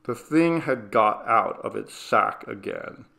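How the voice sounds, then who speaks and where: A man reading a ghost story aloud, in a slow, measured and grave way. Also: on the low side, expressive, vocal fry, pushed and bright. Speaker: reader. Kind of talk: scripted ghost story narration.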